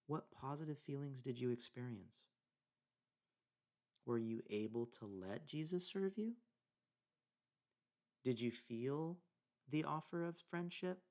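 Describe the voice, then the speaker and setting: A man leading a guided meditation, speaking deliberately in short phrases with pauses between them. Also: mid-range voice, darkish tone. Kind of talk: guided meditation narration with scripture reading.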